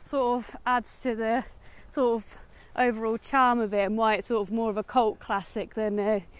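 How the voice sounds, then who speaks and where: A woman's voice: on the high side, flat delivery, moderate pace. A woman with an English accent chatting casually about a film while out walking, in a hesitant, hedging way. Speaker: film review vlogger. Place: outdoors, walking in woods.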